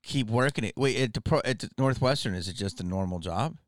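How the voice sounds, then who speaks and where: A man hosting a podcast, chatting casually with a guest, laughing often and firing quick follow-up questions. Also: lowish voice, lively intonation, no room sound, clean studio sound. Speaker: podcast host. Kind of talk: casual interview chat.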